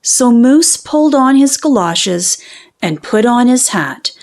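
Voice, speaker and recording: A woman reading a children's storybook aloud in an expressive, deliberate way with dramatic pauses. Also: a little high. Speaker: children's book reader. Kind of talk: animated storybook read-aloud.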